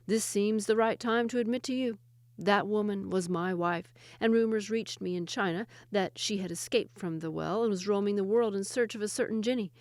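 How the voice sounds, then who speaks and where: A woman's voice: mid-range voice, moderate pace, darkish tone, dry recording, natural voice. A woman voicing a sly young male woodcutter who poses as a physician.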